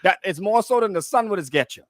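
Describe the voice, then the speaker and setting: A man's voice: high voice, lively intonation, a little fast, fairly bright tone, no echo, clean studio sound. A man with a Bahamian accent co-hosting casual radio banter, colloquial and repeating phrases for emphasis. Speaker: radio co-host. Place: radio studio.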